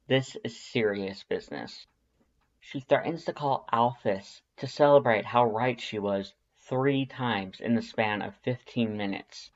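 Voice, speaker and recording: Audiobook narrator, mid-range pitch, scripted storytelling, slightly dull audio. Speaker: fan fiction narrator.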